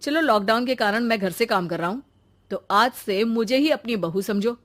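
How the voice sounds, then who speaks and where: A woman voicing a young married son, protective and exasperated, in a quick, pleading manner for an animated story. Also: fairly high pitch, lightly acted. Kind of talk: animated Hindi moral story acting.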